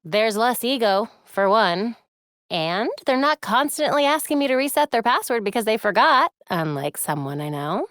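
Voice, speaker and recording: A young American masked heroine for a video game. She is quippy, determined and caring, with a lively, wide-ranging pitch. The recording is dry and close, with no room around it. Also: moderate pace, no echo. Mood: wry, focused. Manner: wry, quippy, expressive. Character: young female masked vigilante hacker, wry, determined, caring.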